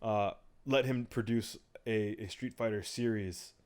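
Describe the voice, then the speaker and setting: A man's voice: lowish voice, steady tone, a little slow, darkish tone. A man co-hosting a casual podcast, joking around and rambling with frequent restarts. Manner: joking, rambling banter, often trails off. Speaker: podcast co-host.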